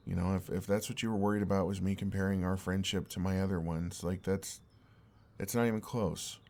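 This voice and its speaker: A young man playing an exasperated, earnest and oblivious best friend in an intimate audio roleplay, speaking in a halting, conversational way. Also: low voice, fairly even intonation, dark tone. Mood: earnest, pleading.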